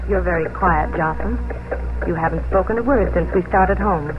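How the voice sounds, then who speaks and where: A woman's voice: fairly low pitch, moderate pace, muffled recording, lightly acted. Proper colonial farm mistress, played by a woman, vintage radio. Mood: warm, earnest. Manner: proper, refined, earnest. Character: young, proud but kindly colonial farm mistress. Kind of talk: 1950s radio drama acting and narration. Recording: band-limited old radio broadcast with music.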